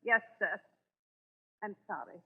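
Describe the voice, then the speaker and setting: An actress playing a deferential, fretful housekeeper, polite and hesitant, in 1940s radio drama style. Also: female voice, medium pitch, animated, slow pace, husky, full character voice. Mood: deferential, wistful. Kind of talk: 1940s radio thriller acting. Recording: echoing, narrow old radio recording.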